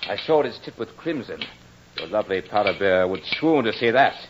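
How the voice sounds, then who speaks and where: An actor playing a proud, battle-hardened soldier in old radio horror drama, with formal, theatrical diction. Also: male voice, lowish voice, very animated, normal speed, a little hiss or hum. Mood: taunting. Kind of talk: 1940s-50s radio horror drama acting. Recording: muffled old-time radio recording with music.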